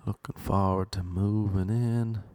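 A young man with a Cork Irish accent, telling stories in a deadpan, self-mocking, rambling way. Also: lowish voice, unhurried, dry recording. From Ireland. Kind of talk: casual storytelling monologue.

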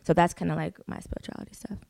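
A woman's voice: on the low side, flat delivery, rapid-fire, gravelly, darkish tone, dry recording. A young woman, a singer, chatting casually as a guest, laid-back and full of "like" fillers and swearing. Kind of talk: casual interview chat.

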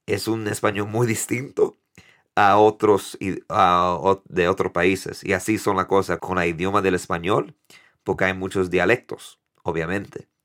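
A man in his thirties from New York hosting a casual solo podcast in Spanish, with an accent mixing Dominican and American English, halting and correcting himself often. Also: sits low, steady tone, a bit bright. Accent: mix of Dominican Spanish and American English. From New York, United States. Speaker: podcast host.

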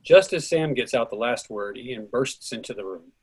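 A man with a husky voice reading out a play's stage directions and cues as scripted narration. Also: medium pitch, expressive, normal speed, darkish tone.

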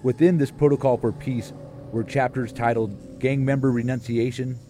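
A man narrates a radio documentary feature from a script. His voice sits at a medium pitch, with music clearly audible behind it. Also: fairly even intonation, moderate pace, warm tone. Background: music bed.

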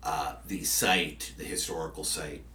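A man's voice: rumbling low, steady tone, a little slow, sharp tone, reverberant, distant mic, slight background noise. A man who works as a podcaster and journalist, talking casually about past interviews in a rambling way with many fillers and restarts.

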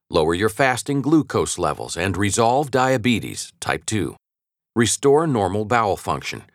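A man with a low voice narrates nonfiction in an assured, articulate manner. His intonation moves with plenty of variety while the delivery stays measured. The recording is very dry, with a close studio sound. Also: no echo. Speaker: audiobook narrator. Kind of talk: scripted nonfiction audiobook narration.

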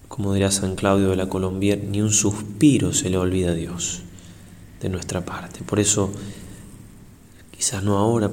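Male priest for guided meditation: low, even delivery, reverent and unhurried, long pauses, faint noise behind. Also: in a large hall. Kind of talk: guided prayer meditation, slow and solemn.

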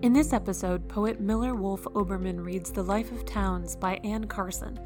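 A woman announcer delivers a scripted podcast introduction. Her voice sits at an ordinary pitch for a woman, with music clearly playing behind it. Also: fairly even intonation, normal speed. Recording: music under the voice.

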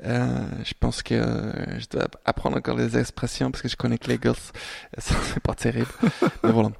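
A man chats as a podcast co-host. His voice is creaky and recorded very dry. He is colloquial and jokey, often poking fun at himself. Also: medium pitch, flat delivery, moderate pace, a little mellow, no echo. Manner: jokey, colloquial, self-mocking. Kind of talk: casual music podcast chat.

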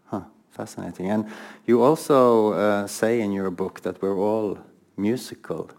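Gravelly low-voiced male interviewer. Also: a little slow, a little mellow. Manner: hesitant, many fillers, interested. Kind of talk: interview questions.

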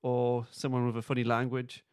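A man's voice: medium pitch, completely flat delivery, normal speed, a little mellow, clean tone, no room sound. A man co-hosting a podcast in loose, informal conversation. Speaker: podcast co-host. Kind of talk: casual chat.

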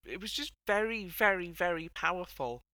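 A woman's voice: fairly low pitch, lively intonation, normal speed, sharp tone, no room sound. A woman hosting a casual panel chat as a podcast host, with a wry, irreverent way of talking.

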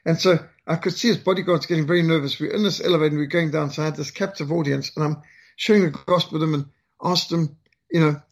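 Older Rhodesian-accented man, husky, fairly high voice, halting earnest storyteller. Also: steady tone. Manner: earnest, halting with frequent fillers. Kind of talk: personal storytelling talk.

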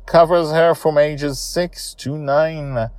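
A man's voice: a little high, slow pace, no room sound. A man hosting a story podcast, talking informally and emphatically about his own serial. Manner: animated, emphatic, self-correcting.

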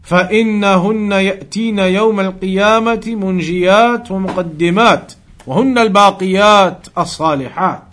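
A man's voice: high voice, fairly bright tone. A man giving an Islamic lesson as a religious teacher, explaining emphatically and repeating and rephrasing his points. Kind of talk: Islamic lesson with recited Arabic quotations and English explanation.

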